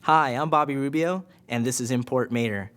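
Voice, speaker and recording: A man presenting a cartoon story pitch and acting it out. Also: normal speed, fairly bright tone, smooth.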